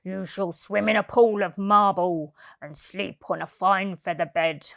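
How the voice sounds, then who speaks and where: A woman's voice: medium pitch, unhurried, gravelly, a bit bright, dry recording, clean studio sound, voice pushed down. A woman voicing a sly, greedy old male circus boss in a children's storybook. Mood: oily, boastful. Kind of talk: children's picture book villain voice.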